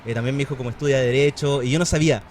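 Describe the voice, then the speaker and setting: A man in his thirties from Chile with a Chilean Spanish accent, chatting casually as a podcast guest, relaxed and full of fillers and slang. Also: mid-range voice, very fast, slight background noise. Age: 30s. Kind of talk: casual conversation.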